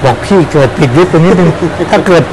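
Guy in his 60s, football coach, exclaims a lot, talking outside by a pitch, lapel mic, noisy background. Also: fast. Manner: animated, exclaims often. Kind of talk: outdoor interview.

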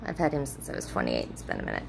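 Gravelly woman chatting into her phone. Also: extremely low pitch, wildly swooping pitch, rapid-fire, background noise. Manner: rambling, chatty, many ums and restarts. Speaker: vlogger. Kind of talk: casual chat to followers. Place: motel room, indoors. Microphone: phone held at arm's length.

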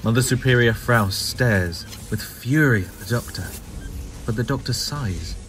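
A man's voice: medium pitch, lively intonation, unhurried, fairly bright tone. A man reading scripted narration for an audio drama, describing the scene as a storyteller. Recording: music behind the voice.

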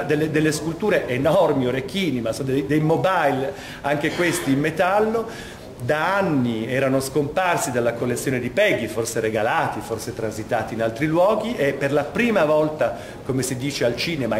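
A middle-aged man giving a discursive, emphatic guided art talk as an exhibition curator. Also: medium pitch. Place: large vaulted museum gallery, indoors. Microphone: camera microphone a few steps away. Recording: echoey gallery hall, clear background noise.

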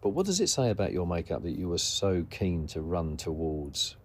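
A man hosting a podcast, asking measured, probing interview questions. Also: deep voice, big pitch swings, breathy edge.

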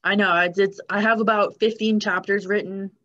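A woman's voice: medium pitch, fairly even intonation, normal speed, a bit bright. A young woman author with a Montreal accent, answering in short, laughing replies during a casual video-call interview. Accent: Montreal Quebec English. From Montreal, Canada. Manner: brief, laughing responses. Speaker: author guest. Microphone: webcam video call. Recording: slightly dull webcam audio.

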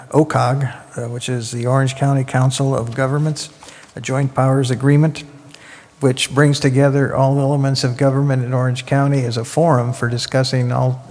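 Older man reading a flat formal report. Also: medium pitch. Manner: reads formally from notes, flat delivery. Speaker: district general manager. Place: council chamber, seated at the dais. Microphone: desk gooseneck mic. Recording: echoey council chamber audio.

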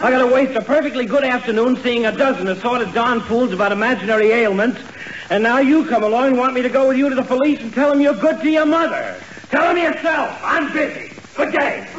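An actor playing a fussy, exasperated family doctor who grumbles, in 1940s radio comedy style. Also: male voice, extremely high pitch, brisk. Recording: muffled, hissy 1940s radio recording.

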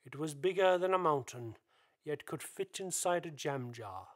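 A man's voice: fairly high pitch, lively intonation, normal speed. A man narrating a spooky radio play as a dramatic scripted storyteller.

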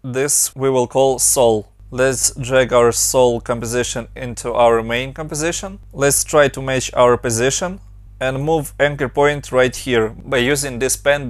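A young man from Ukraine narrates a tutorial in his Ukrainian accent, moving briskly from one instruction to the next. His mid-pitched voice is recorded dry and close, with no room sound. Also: dry recording. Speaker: motion design tutorial host.

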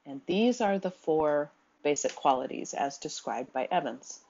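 Woman reading out a slide lecture, low and husky, kinda dull lo-fi sound. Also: lively intonation, dark tone. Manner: measured, reads quotes aloud, occasional ums.

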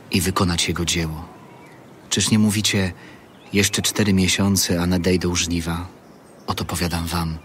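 An actor playing a calm, solemn prophet and teacher in an audio Bible drama, speaking in a measured way. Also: male voice, low voice, a little hiss or hum. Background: music.

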